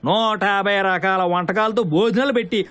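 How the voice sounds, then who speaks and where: A man's voice: sits high, steady tone, fairly quick, fairly bright tone. A man playing a garrulous, fussy, grumbling wedding guest in a film comedy. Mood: indignant. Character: nosy, chattering, grumbling wedding guest from groom's side.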